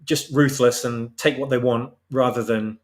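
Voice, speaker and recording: A man's voice: medium pitch, fairly even intonation, moderate pace. A man chatting casually as a guest, explaining his work as a writer. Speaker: guest, audio drama writer. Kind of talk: casual chat.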